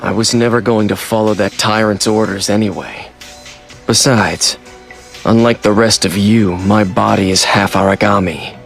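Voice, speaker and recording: Defiant young half-monster, game cutscene, sullen, gravelly, faint music behind. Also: male voice, lowish voice, steady tone, normal speed. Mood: bitter defiance. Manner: brusque, sullen. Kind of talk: dramatic game cutscene acting.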